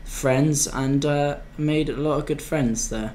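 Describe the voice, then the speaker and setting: A man's voice: a little high, takes it slowly, a little hiss or hum. A young man answering interview questions casually, hesitant, with many fillers and restarts. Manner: hesitant, many fillers and restarts, animated when telling stories. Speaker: guest.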